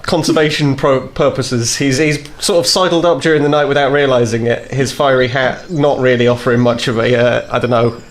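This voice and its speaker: A man's voice: mid-range voice, steady tone, fairly quick, hardly any pauses. A man playing a character at a tabletop game, rambling and giggling, often tripping over his words. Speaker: player.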